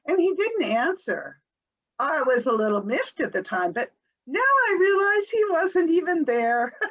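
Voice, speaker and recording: A woman's voice: very high voice, fairly bright tone, dull audio, heavily acted. A woman reading the part of an evasive, chattery housewife in a comic scene, with a quavering, giggly delivery over a home video call. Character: flighty, evasive middle-aged housewife, breezily unconcerned. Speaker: reader in an amateur readers theater performance. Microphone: home video call mic.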